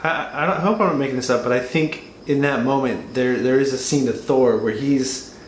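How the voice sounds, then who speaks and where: Guy chatting about a movie, kinda halting, echoey room with a bit of noise. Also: mid-range voice. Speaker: film reviewer. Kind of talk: casual movie discussion.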